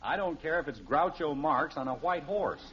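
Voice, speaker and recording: A man's voice: a little high, expressive, normal speed, close to an everyday voice. An actor playing an exasperated, blustering middle-aged American father in a 1950s radio sitcom, speaking emphatically. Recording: dull, noisy old radio recording.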